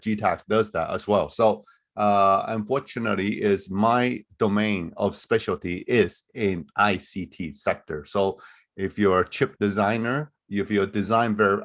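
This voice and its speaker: A man with a somewhat low voice walks listeners through the steps over a video call. He talks as a recruiter at a live webinar, loosely and conversationally, laying things out one point at a time. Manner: loose, conversational, explaining step by step. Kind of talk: live online webinar talk.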